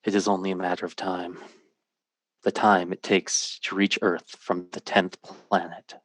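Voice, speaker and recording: A man playing a sober, ordinary math teacher, narrating gravely and deliberately in a 1950s-style radio drama. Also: deep voice, little pitch movement, no room sound. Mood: grave, reflective. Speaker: lead actor.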